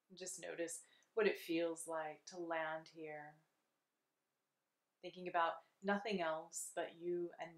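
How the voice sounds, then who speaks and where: A woman leading a guided meditation in a soothing, unhurried, gently instructive way. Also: low voice.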